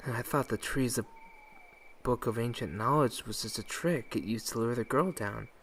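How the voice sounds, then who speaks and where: A woman's voice: rumbling low, normal speed, slight background noise. A woman playing a thoughtful, questioning young listener in an audio play, speaking earnestly and inquisitively. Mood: curious, probing.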